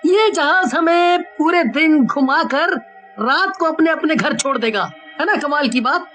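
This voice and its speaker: A woman voicing boastful, excitable townsmen in a cartoon story. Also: high voice, a little slow, soft background music. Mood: eager.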